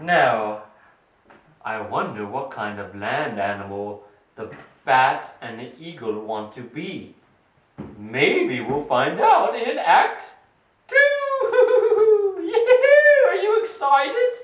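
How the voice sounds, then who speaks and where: A playful announcer hosts a children's puppet show with plenty of exclamations and coaxing. The delivery is slow and swoops up and down. The recording is distant and echoey. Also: medium pitch, very animated, muffled recording. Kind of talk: playful announcing to a small family audience. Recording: distant echoey home recording, faint noise.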